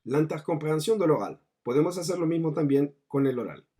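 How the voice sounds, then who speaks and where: A man's voice: a little high, expressive, fairly quick, warm tone, breathy edge. A man narrating a scripted explanatory lesson as a language teacher, in a didactic manner with foreign terms mixed in. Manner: didactic, mixing in French terms.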